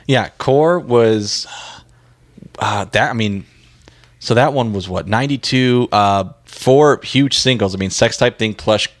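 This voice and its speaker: A man's voice: mid-range voice, a little slow, no room sound, clean studio sound. A middle-aged man co-hosting a podcast, chatting casually in a laid-back, informal way. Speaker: podcast co-host. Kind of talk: casual chat, reminiscing.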